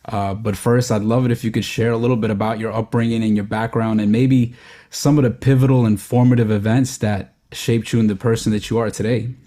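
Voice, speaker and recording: Polished male podcast host, warm voice. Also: on the low side, level tone, brisk. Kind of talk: scripted intro then casual interviewing.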